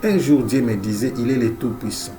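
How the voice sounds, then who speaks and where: A man's voice: mid-range voice, fairly quick, mellow, soft background music. A male preacher with a cold, delivering an emphatic sermon that repeats key phrases, with frequent amens and alleluias. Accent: Central African French. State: has a cold.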